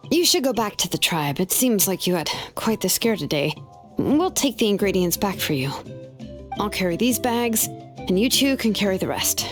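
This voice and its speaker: A woman's voice: mid-range voice, big pitch swings, normal speed, natural voice. A woman playing a cool, practical young warrior in a video game, nonchalant and matter-of-fact. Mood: unfazed. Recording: loud background music.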